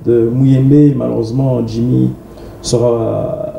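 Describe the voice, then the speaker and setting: A man's voice: medium pitch, flat delivery, slow pace, warm tone. A man, a guest describing film projects, hesitant and full of fillers and restarts. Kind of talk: interview talk. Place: TV studio. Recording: echoey studio with clear background noise.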